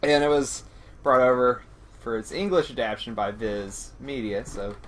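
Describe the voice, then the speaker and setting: A man's voice: mid-range voice, normal speed, fairly bright tone, slight background noise. A man co-hosting a podcast, talking through a film in a casual, rambling, self-deprecating way. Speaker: podcast co-host.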